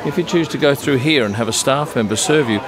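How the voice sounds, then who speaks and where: A man's voice: medium pitch, fast, crowd noise. An Australian man in his sixties giving chatty travel vlog narration. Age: 60s. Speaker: travel vlogger.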